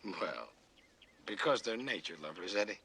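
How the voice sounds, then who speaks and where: An American man playing a patient, gently amused father of a young boy in a naturalistic TV sitcom, answering indulgently with hesitant pauses. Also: lowish voice, very animated, vocal fry, slightly rough, heavily acted. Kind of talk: naturalistic television sitcom acting. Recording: older TV soundtrack, faint background noise.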